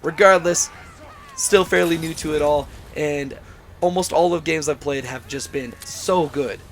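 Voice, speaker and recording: A man hosting a gaming channel, talking through a casual game review with a hoarse, worn-out voice after being sick. He sounds enthusiastic, rambles a bit and often corrects himself. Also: on the high side, unhurried, soft background music. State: hoarse, voice mostly gone after illness.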